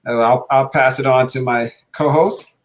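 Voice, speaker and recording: Man hosting, flat and halting. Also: medium pitch. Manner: halting, many filled pauses. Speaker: podcast host, basketball coach. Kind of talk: conversational show hosting.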